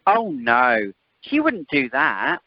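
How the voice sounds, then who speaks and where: A woman's voice: very deep voice, huge pitch swings, slow pace, heavy background noise, full character voice. A woman playing a cheeky, cheerful male airport worker in an amateur radio comedy. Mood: breezy. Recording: dull, dry telephone conference line.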